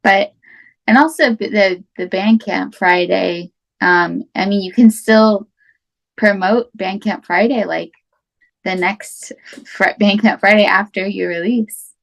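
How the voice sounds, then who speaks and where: A woman in a casual chat, speaking haltingly with many fillers. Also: medium pitch, vocal fry, highs cut off.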